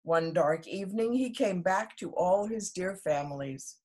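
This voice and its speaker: A woman storyteller reading a children's story aloud expressively, in a husky voice. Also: fairly low pitch, lively intonation, unhurried, darkish tone.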